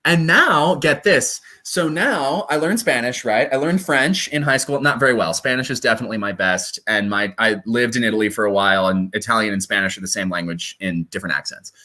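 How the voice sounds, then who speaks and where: A young man from Colorado, a stage performer, chatting casually in an interview with lively, self-deprecating asides. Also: mid-range voice, a little fast, few pauses. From Colorado, USA. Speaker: guest performer.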